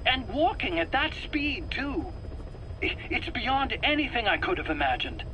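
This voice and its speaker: Rambling game scientist, bright edgy voice, defensive, stammering, hesitant, faint background noise. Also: male voice, very high voice, very animated, a little slow, heavily acted, poor audio. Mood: baffled, agitated. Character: defensive, rambling male weapons scientist under interrogation. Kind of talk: dramatic video game voice acting.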